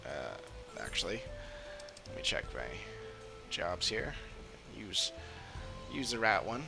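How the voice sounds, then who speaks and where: A man's voice: sits low, big pitch swings, slow, vocal fry, music in the background. A man streaming a video game, muttering casually and thinking aloud as he plays. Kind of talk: casual play-along commentary.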